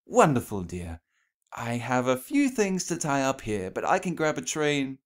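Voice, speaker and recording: A man's voice: medium pitch, very animated, unhurried, pitched above the performer's own voice. A man voicing a genteel, gushing female cartoon pony in a storybook reading, refined and anxiously polite. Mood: delighted. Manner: refined, effusive, over-polite.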